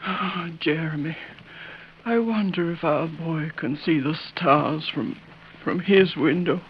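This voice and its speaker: A woman's voice: low-pitched, takes it slowly. Anguished mother, wistful, sorrowful, pleading, old-time radio drama, muffled slightly hissy recording. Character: anguished mother of a condemned son. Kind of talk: 1930s-50s radio melodrama acting.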